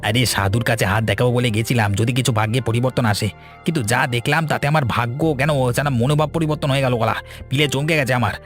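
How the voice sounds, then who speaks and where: A man's voice: mid-range voice, fairly even intonation, fast talker, a bit bright, soft background music, lightly acted. A man playing an irritable, bossy young village son in a cartoon, scolding and exasperated. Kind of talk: Bengali cartoon voice acting.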